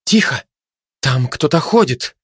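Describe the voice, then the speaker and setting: A man's voice: mid-range voice, expressive, a little slow, fairly bright tone, slightly rough. A man voicing an anxious mother dog in an audiobook, urgent and moaning with worry. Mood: alarmed. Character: anxious mother dog, fretting over her pups.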